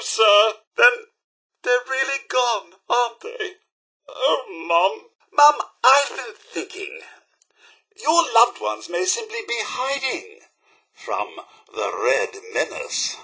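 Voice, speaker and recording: A devoted British robot butler from a video game, fretful and impeccably polite. The voice is high and bright, with wildly swooping pitch. The diction is prim and theatrical, like a formal servant's. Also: male voice, breathy edge, heavily acted. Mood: distraught, then tentatively hopeful. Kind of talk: video game companion dialogue.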